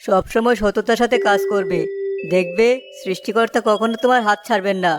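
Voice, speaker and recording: A woman voicing a kind, fair and dignified gentleman in a village cartoon. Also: medium pitch, brisk, music in the background, natural voice. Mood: kindly. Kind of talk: cartoon story voice acting.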